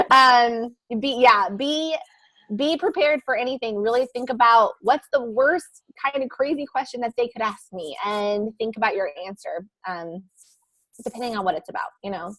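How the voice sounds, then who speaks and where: A woman's voice: a little high, animated, normal speed, a bit bright, low-quality recording. Laughing woman guest on a video call. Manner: laughing, self-deprecating. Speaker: guest speaker. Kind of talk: casual video call chat.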